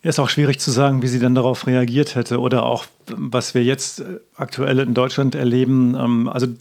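A man interviewing, asking brief questions quietly. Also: medium pitch, little pitch movement, moderate pace, gravelly.

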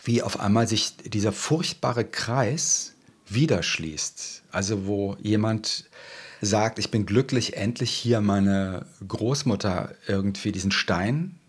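Low husky-voiced man, thoughtful. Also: slow. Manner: thoughtful, searching for words, frequent fillers. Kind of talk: interview answers. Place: Berlin.

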